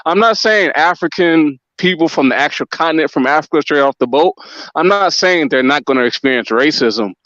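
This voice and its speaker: A man's voice: a little high, animated, fairly quick, fairly bright tone, no echo. A man of Nigerian descent raised in the US hosts a casual panel talk. He speaks hesitantly, with many ums and restarts. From United States. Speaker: talk show host. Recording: dull lo-fi dry sound.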